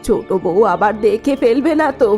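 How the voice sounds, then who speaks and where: A woman voicing a scheming middle-aged mother-in-law in a cartoon story, talking in a sharp, dismissive way. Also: a little high, animated, fairly quick, faint music behind, close to an everyday voice. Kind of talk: cartoon voice acting.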